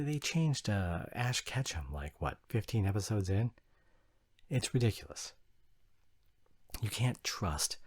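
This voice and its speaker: Male actor as snobbish young geek boyfriend for audio roleplay: low, husky, exasperated, halting but emphatic. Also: a little mellow, full character voice. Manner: halting, repeats words, sighing emphatic rant. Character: exasperated, flustered young anime fan boyfriend, opinionated and snobbish. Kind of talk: ASMR boyfriend roleplay monologue, argumentative.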